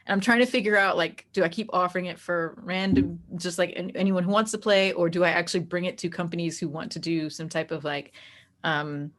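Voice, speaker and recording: A woman talking casually as an interview guest about her work as a game master, telling it in an animated, conversational way. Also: fairly low pitch, brisk. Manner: animated storytelling that acts out quoted dialogue, frequent "like".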